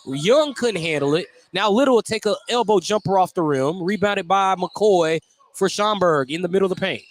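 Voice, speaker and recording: A man's voice: sits high, animated, normal speed, slight background noise. A man calling a live basketball game as the radio play-by-play announcer, describing the action in clipped running phrases.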